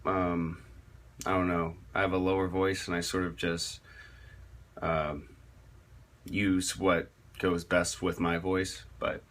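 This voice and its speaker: A man with a low voice gives a casual tutorial on metal vocals. His tone is bright and forward even at that depth, and he speaks slowly. He rambles, restarts phrases and leans on fillers as he explains. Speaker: metal vocalist teaching screaming technique.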